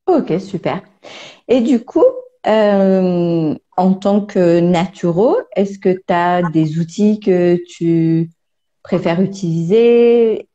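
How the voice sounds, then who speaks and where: A woman's voice: on the low side, takes it slowly, warm tone. A woman interviewing a colleague in a friendly, informal way. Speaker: interviewer, naturopath. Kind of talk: casual interview questions. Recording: dull lo-fi sound.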